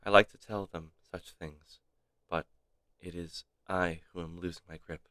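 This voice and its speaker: A man streaming a game, reading its text aloud dramatically with measured, theatrical pauses. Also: deep voice, fairly even intonation, pushed and bright, no room sound.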